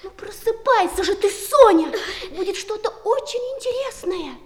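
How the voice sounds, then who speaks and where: Eager child, extremely high voice. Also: female voice, animated, normal speed. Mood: excited. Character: excited, eager young child out at night. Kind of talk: 1960s audio play for children.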